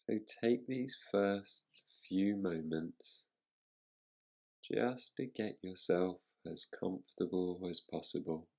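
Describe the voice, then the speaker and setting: A man guiding a relaxation meditation as a teacher, speaking in a soothing, unhurried manner with long pauses. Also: low-pitched, gravelly, warm tone.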